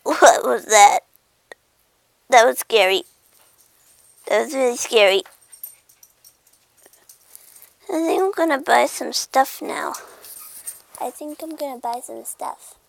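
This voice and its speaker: A woman's voice: a little high, unhurried, vocal fry, pauses often, no room sound. A woman voicing a childish, moody little house cat, whining and repeating itself as it narrates its thoughts in a comic pet voice-over. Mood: sulky, wary. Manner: whiny, repetitive, babyish self-narration with meows.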